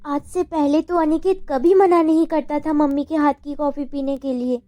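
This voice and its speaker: A woman playing an emotional, anxious young college girl in an animated love story, speaking in an expressive, girlish way. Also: high voice, normal speed, close to an everyday voice. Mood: worried. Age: young adult. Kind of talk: dramatized Hindi love story acting.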